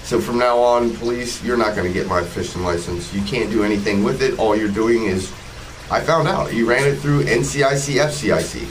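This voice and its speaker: A man's voice: lowish voice, fairly quick. Combative American man in his forties, noisy recording. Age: 40s. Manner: confrontational, sarcastic, swearing. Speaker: video blogger, police auditor. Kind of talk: casual narration over footage.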